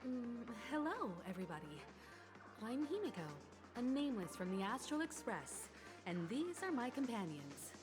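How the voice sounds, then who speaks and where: An actress voicing a poised, friendly space traveler in a video game, with a courteous, composed manner. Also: female voice, a little high, very animated, moderate pace. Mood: polite, friendly. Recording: music behind the voice.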